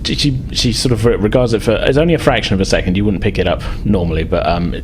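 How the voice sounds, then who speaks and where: A man narrating a tabletop sci-fi role-playing game as game master, descriptive and somewhat halting. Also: on the low side, slight background noise. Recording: music playing behind the voice.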